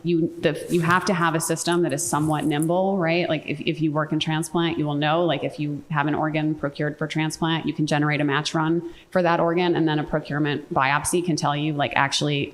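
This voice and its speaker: A woman on a conference panel, speaking emphatically and quickly, with frequent "like" and "right?". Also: low voice. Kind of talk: panel discussion remarks. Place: conference hall. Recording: reverberant conference hall.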